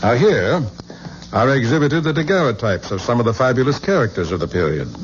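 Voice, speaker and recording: An actor playing a measured, explanatory museum curator in a 1970s radio drama. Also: male voice, medium pitch, expressive, lightly acted. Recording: dull lo-fi radio broadcast with faint hiss.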